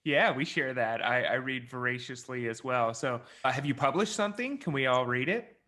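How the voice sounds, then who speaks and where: A man interviewing a guest over a video call, friendly and a little halting. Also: mid-range voice, expressive, bright, forceful tone.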